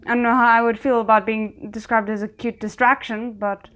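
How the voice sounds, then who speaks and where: A woman with a slightly high voice talks casually through a reaction video. She is chatty and animated, quick to react aloud to what she sees. Her delivery is loose and conversational. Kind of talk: casual commentary while watching a series.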